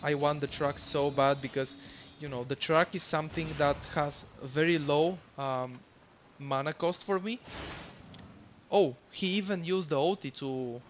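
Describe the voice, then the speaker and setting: Man, Bulgarian accent, fairly high voice, casual game commentary, rambling, fillers, faint background noise. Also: measured pace. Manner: rambling, many fillers, swears freely. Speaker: gamer commentating his own match.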